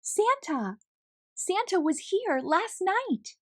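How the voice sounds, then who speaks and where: Woman voicing an eager, high-pitched little girl. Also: sing-song, slow pace, no echo, clean studio sound, full character voice. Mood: excited. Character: Tess, eager sweet little girl wishing for a gnome. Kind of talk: children's storybook character voice.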